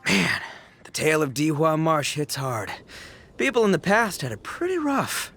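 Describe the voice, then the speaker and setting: A man's voice: a little high, sing-song, normal speed, fairly bright tone. A man voicing a brash, goofy, big-hearted young gang boss in a video game, boisterous, slangy and theatrical. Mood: won over. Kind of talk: video game cutscene acting.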